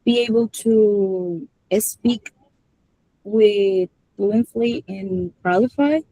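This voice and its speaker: A young woman from El Salvador introduces herself to her English class. She speaks slowly and carefully. Her voice sounds dry and close. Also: medium pitch, mellow, no echo, low-quality recording. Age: 20s. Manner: careful, searching for words. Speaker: student.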